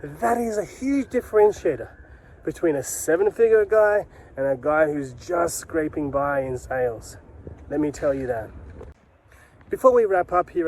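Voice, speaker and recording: A man's voice: fairly high pitch, a little mellow. A man giving an instructional talk to camera as a sales coach, speaking emphatically with repeated key phrases and rhetorical questions. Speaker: sales coach and video host.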